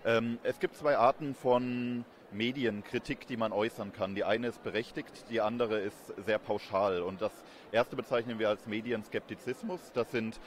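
A man in his thirties speaks in a fairly low voice, explaining things in a measured, academic way. He is being interviewed on a handheld microphone, with light urban background noise around him. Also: fairly even intonation. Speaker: interviewed expert, communication professor. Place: busy event courtyard in daylight. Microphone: handheld reporter microphone held close. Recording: outdoor urban ambience behind voice.

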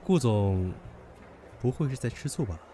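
A man's voice: mid-range voice, lively intonation, moderate pace, close to an everyday voice. An actor playing a brash, possessive, hot-tempered young man in an audio drama, goading and domineering. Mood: taunting. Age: young adult. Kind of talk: Chinese audio drama acting. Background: background music.

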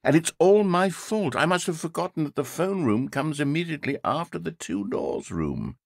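An actor playing a vain, fussy game narrator obsessed with comedic timing, with a slightly breathy voice. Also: male voice, fairly high pitch, very animated, normal speed, dry recording, heavily acted. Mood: self-pitying. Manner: theatrical, fussy, swings between pride and self-pity. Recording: dry close studio sound.

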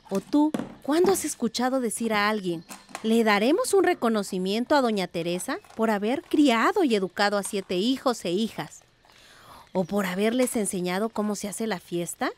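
A woman's voice: on the high side, animated, moderate pace, slight background noise, natural voice. A woman playing a thoughtful, determined middle-aged village aunt in a radio drama, speaking earnestly and reflectively.

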